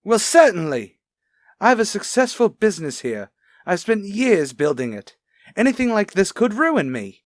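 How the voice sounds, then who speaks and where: A man's voice: high-pitched, sing-song, normal speed, no echo, studio quality. A man playing a prim, evasive voice coach who speaks precisely and indignantly in a radio detective drama. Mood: anxious. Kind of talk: old-time radio detective drama acting.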